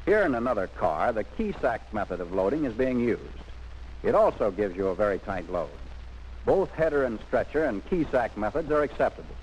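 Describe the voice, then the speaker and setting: A man's voice: medium pitch, big pitch swings, fairly quick, darkish tone, slight background noise, low-quality recording. A man narrating a 1940s military instructional film in an emphatic, instructive scripted manner, on an old film soundtrack.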